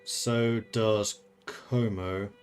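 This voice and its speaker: A man's voice: fairly low pitch, steady tone, very slow, faint music behind. Man streamer thinking aloud over a game. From Netherlands. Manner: thinking aloud, chatty, laughs often. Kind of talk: casual gameplay commentary.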